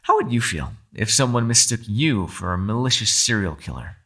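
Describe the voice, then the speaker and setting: A man's voice: lowish voice, big pitch swings, normal speed, sharp tone, slightly rough, exaggerated voice. A man playing a captive kitsune, a sly and menacing fox spirit, with silky, taunting, mock-polite delivery in an ASMR roleplay. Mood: proud, cool.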